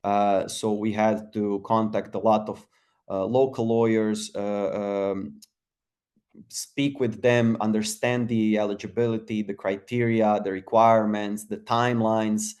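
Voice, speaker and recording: Male webinar speaker, low and monotone, hesitant with many restarts. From Croatia. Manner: halting, many fillers and restarts. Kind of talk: casual webinar talk.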